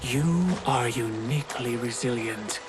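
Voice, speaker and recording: Man acting a slow, portentous mysterious tempter. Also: mid-range voice, background noise, lightly acted. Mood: coolly seductive. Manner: deliberate, portentous. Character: mysterious male tempter, grand, coolly persuasive schemer. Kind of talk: cinematic video game acting.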